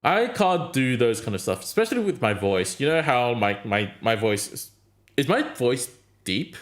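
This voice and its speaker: A man's voice: mid-range voice, sing-song, moderate pace. A man streaming a mobile game, chatting casually and answering his chat in a rambling way. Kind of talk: casual live-stream chat while gaming.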